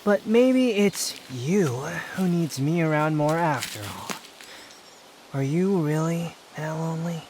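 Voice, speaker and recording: A man playing an aloof, proud young wanderer in an intimate roleplay audio drama, guarded yet secretly fond, with a brooding, haughty delivery full of pauses. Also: fairly high pitch, animated, darkish tone, slight background noise. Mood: haughty, teasing. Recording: outdoor nature ambience with insects. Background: insect and nature ambience.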